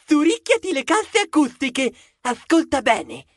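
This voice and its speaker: A cheeky little male mouse detective, acted by a woman, pitched very high and recorded dry and close. He is bossy and teasing, forever exclaiming and scolding his friend in a children's audio story. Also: big pitch swings, a little slow, dry recording. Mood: indignant, bossy.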